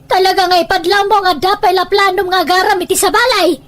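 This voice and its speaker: A woman with a Filipino accent playing an indignant village wife in an audio drama, scolding in a shrill manner. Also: steady tone, a little fast, a bit bright. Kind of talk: Ilocano audio drama acting.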